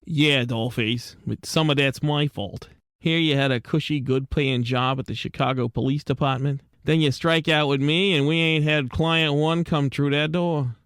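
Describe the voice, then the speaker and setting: A man's voice: on the high side, steady tone, normal speed, a bit bright, clear, resonant tone, no echo, studio quality. A man playing a self-doubting, streetwise penguin detective in a radio drama, with American accent and slangy tough-guy talk in an old-time style. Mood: downcast. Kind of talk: 1940s radio detective acting and narration.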